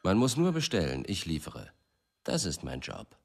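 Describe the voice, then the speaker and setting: A young, world-weary writer in a radio play. The voice sits low but swings widely in pitch, giving his lines a theatrical lift. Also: huge pitch swings, moderate pace, vocal fry, slightly rough, exaggerated voice. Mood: sardonic, weary. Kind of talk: German radio drama acting.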